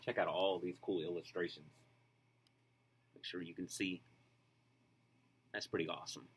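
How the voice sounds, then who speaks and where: A man reading a children's storybook aloud, expressive and rhythmic, with casual asides. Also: deep voice, moderate pace, slight background noise. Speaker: storybook reader.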